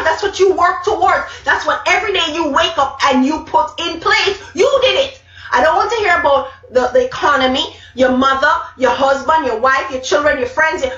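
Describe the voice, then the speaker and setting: A woman's voice: sits high, fairly bright tone. A middle-aged woman with a Jamaican accent gives a motivational Bible talk to a live group, emphatic and repeating key words for stress. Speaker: speaker. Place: small room indoors. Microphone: webcam at arm's length. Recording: roomy, distant, dull webcam sound.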